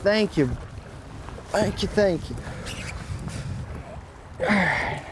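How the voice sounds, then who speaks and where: A man's voice: high voice, drawn-out words, noisy background. A man in his thirties chatting casually while fishing from a small boat outdoors, laughing easily, on a lapel mic. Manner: easygoing, laughs often while reeling. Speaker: fishing guide and guest.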